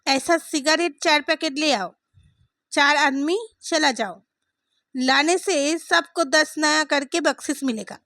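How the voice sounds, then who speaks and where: A woman's voice: high-pitched, a little slow, bright, forceful tone, no echo, exaggerated voice. A woman voicing a playful, teasing, confident young man in an audio story. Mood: bargaining.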